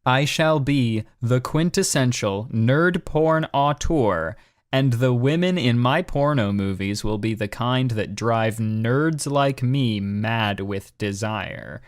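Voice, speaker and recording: A man's voice: mid-range voice, slow pace, no room sound, studio quality. A man co-hosting a podcast, doing mocking dramatic readings and casual commentary in a sarcastic, exasperated way. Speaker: podcast co-host.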